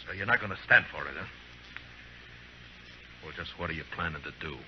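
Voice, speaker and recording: A man's voice: low-pitched, expressive, brisk. An American man acting a stern, weary, middle-aged frontier lawman in a radio western, terse, dry and commanding. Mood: scornful, challenging. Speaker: radio actor. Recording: dull, noisy old radio recording.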